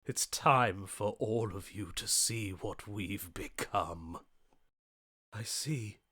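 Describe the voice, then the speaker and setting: A grandiose, lovesick male hero from a video game, declaims in florid, old-fashioned phrases. He takes his time over each line in a medium-pitched voice, brooding and adoring by turns. The sound is very dry. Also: no echo. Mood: guilty brooding. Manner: theatrical, florid, archaic declamation.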